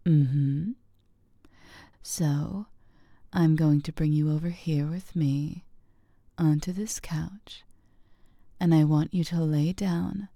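A woman whispers close to the microphone in a breathy, husky hush, coaxing and unhurried. Her voice sits low and mellow, with a gravelly fry when she hums between phrases. The sound is dry and intimate, with no room around it. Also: no echo. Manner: slow, drawn-out phrasing with hums. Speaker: audio roleplay performer. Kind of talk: whispered intimate audio roleplay.

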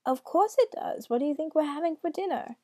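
Woman doing a high, sweet fake-granny voice. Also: expressive, fairly quick, dark tone, dry recording, studio quality. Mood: feigned cheer. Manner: cooing, falsely sweet, placating. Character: nervous boy disguised as devil's sweet old grandmother.

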